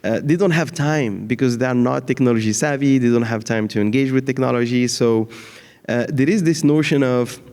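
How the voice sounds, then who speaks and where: A man talks as an expert on a conference panel. His pitch is average for a man, and his delivery is unscripted, often pausing to hunt for words. The sound has the long reverb of a big hall. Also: darkish tone. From Morocco and France. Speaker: panelist, data science leader in healthcare.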